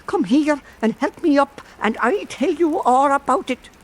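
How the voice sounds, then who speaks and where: A man's voice: very high voice, expressive, normal speed, voice pushed up. A man playing a scheming, confiding Irish countryman who pretends to be dead, in a folk tale radio drama. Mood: urgent, reassuring. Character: wronged husband feigning death, scheming and conspiratorial countryman.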